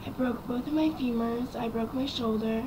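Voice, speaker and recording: A young woman speaks to camera in a raspy, quiet voice, a little higher than most. She rambles through her story with frequent ums and likes. The home recording carries clear background noise. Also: fairly even intonation, moderate pace. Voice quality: raspy and quiet from vocal cord damage. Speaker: vlogger. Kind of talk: casual personal story to camera.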